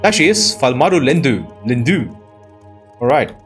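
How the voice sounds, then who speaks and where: A man plays a game and talks through it casually, thinking out loud as he goes. His voice sits at an ordinary pitch, with game music playing underneath. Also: very animated, normal speed. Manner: musing aloud, exclaiming at sights. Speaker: let's player and show host.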